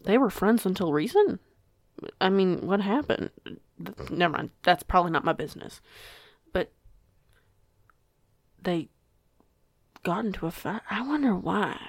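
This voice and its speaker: A woman's voice: medium pitch, lively intonation, unhurried, long pauses, no room sound, studio quality. A woman playing a curious, stubborn teenage werewolf girl in an audio roleplay, with a chatty, rambling, self-correcting way of talking. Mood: nosy, eager. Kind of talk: audio roleplay acting, casual conversation.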